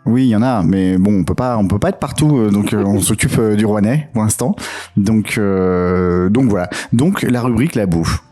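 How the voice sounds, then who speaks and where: A man's voice: lowish voice, moderate pace, dark tone, faint music behind. A man co-hosting a podcast in a casual, joking style. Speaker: podcast co-host.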